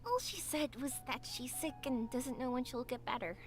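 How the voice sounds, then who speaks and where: An actress voicing a cheerful, talkative young woman in a game, speaking in a bright, encouraging way. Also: high-pitched, animated, moderate pace, soft background music. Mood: thoughtful. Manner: bright, encouraging, sing-song. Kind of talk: game voice acting.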